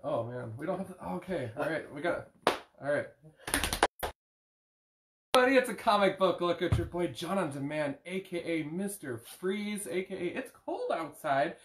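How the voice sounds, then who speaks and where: An upbeat man in his thirties hosts a casual comic book chat from a living room couch. His voice sits a little high, picked up by a camera across the room. The room adds a roomy echo. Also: sing-song, normal speed, noisy background. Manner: upbeat, hyped show opener. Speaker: podcast co-host. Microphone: far camera.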